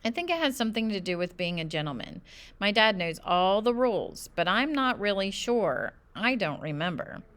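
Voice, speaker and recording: Animated woman reading a children's book. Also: mid-range voice, normal speed, smooth. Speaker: storybook reader. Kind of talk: expressive children's book narration.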